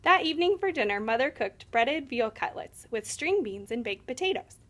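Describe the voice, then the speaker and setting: A woman reading a children's picture book aloud in an expressive storytelling style. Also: high voice, moderate pace. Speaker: read-aloud storyteller, teacher.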